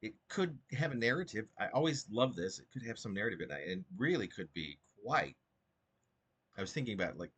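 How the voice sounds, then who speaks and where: A man with a husky voice speaking as a poet about and from his own work, in an informal, halting and digressive way. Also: deep voice, fairly bright tone. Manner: halting, digressive, self-interrupting. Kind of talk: rambling informal commentary and poetry reading.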